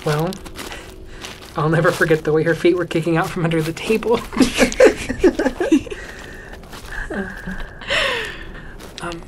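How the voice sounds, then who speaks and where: A woman playing a gentle, awkward, loyal schoolboy in an audio drama, soft-hearted and hesitant in manner. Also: deep voice, level tone, fairly quick, heavy background noise, lightly acted. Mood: gentle, amused.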